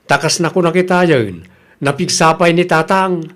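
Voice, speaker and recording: A man's voice: on the high side, normal speed, close to an everyday voice. A man acting an elderly, homely father who is plain-spoken and a little stubborn, in an Ilocano-accented comedy radio drama. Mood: firm, questioning.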